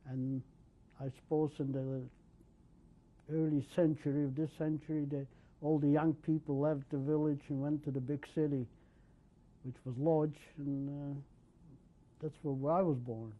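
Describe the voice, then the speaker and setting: A man in his sixties, from Poland, recalling his early life in an oral history interview, speaking haltingly with many pauses and restarts. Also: a little high, level tone. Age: 60s. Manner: hesitant, many ums and restarts. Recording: dull lo-fi 1990s tape.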